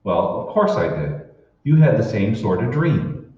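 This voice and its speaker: Casting: male actor as rattled office husband, radio-play style, lively low-leaning pitch, muffled echoey sound. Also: a little slow. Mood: shaken, confused. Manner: hesitant, bewildered, exclamatory. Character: puzzled, irritable married office man in sci-fi radio play. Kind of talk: old-time radio drama acting. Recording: roomy, muffled, distant stage recording with noise.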